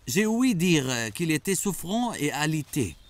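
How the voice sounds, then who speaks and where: An eager, tale-bearing cartoon townsman, played by a man, deferential in tone. His voice is rather high and moves animatedly up and down. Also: very animated, measured pace. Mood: gossipy. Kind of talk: dubbed religious cartoon acting.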